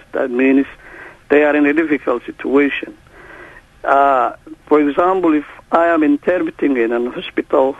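A man whose first language is Somali, a court interpreter speaking as a guest in a halting way, with many restarts. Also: on the high side, no echo. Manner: halting, restarts often, non-native phrasing. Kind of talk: phone interview. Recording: tinny phone line.

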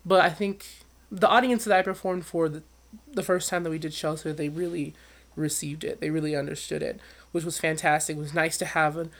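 A man who sings professionally, talking as an interview guest with a high voice and an animated, storytelling manner. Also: a little fast. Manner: animated storyteller, acts out quotes with big leaps in pitch. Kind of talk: conversational interview.

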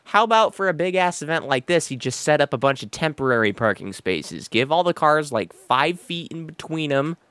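A man's voice: on the high side, a bit bright, clean tone, no room sound. Sarcastic, swearing male podcast co-host. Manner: sarcastic, profane, joking. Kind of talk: casual, irreverent banter and ranting.

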